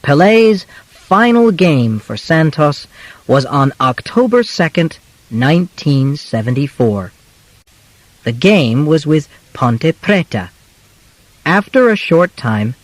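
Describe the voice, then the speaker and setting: A man reading a simple scripted biography as an audiobook, in deliberate, clearly separated phrases. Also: fairly high pitch, sing-song, no echo, low-quality recording. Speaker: audiobook narrator.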